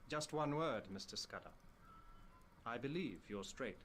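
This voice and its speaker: A man playing a cautious, plain-spoken gentleman in a visual novel, measured and quietly firm. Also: medium pitch, lively intonation, slight background noise.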